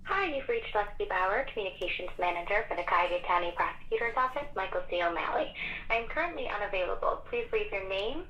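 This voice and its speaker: A woman's voice: medium pitch, lively intonation, fast talker, pushed and bright. A woman communications manager reading a scripted voicemail greeting, heard over a phone line.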